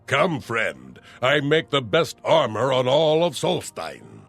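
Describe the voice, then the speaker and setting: A man voicing a gruff, proud warrior smith in a fantasy game, curt and swaggering. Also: mid-range voice, huge pitch swings, slow pace, fairly bright tone, faint music behind, heavily acted.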